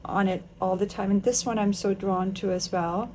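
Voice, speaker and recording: Makeup artist host, woman from Austria, medium pitch, chatty, faint room hiss. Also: fairly even intonation, normal speed, darkish tone. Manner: chatty, enthusiastic, talks while working with her hands. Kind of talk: casual chat while doing makeup.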